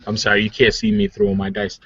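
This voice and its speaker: A man's voice: lowish voice, very animated, fast, gravelly, fairly bright tone, husky. A man chatting casually as a player in a tabletop game, with a jokey, slangy way of talking. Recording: muffled lo-fi recording with background hiss.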